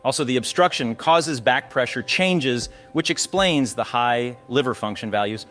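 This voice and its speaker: A man's voice: mid-range voice, animated, moderate pace, a bit bright, faint music behind. A man narrating an explanatory case walkthrough as a radiology instructor, posing questions to the viewer as he teaches. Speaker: radiology instructor presenting cases.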